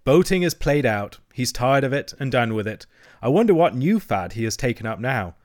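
A man voicing a cheerful, good-natured water rat in a children's story, chatty, colloquial and quick with opinions. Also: medium pitch, big pitch swings, dry recording, clean studio sound, lightly acted. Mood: chatty, confident.

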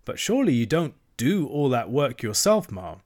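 A man's voice: mid-range voice, sing-song, normal speed, a little mellow. A man voicing a hearty, shrewd middle-aged barge woman who speaks politely with a sly edge.

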